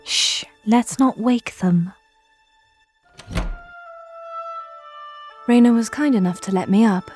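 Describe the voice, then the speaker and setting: A woman's voice: on the high side, darkish tone, faint music behind, close to an everyday voice. Woman acting solemn, formal young noblewoman oracle. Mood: gentle. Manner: formal, measured, earnest. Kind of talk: audio drama acting.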